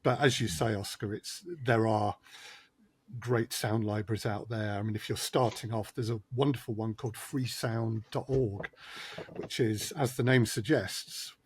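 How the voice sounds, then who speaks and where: A man's voice: on the low side, steady tone, a little slow, slightly rough. A man talking as a sound designer and podcast guest, thoughtful and wry, with frequent hesitations, in a casual discussion.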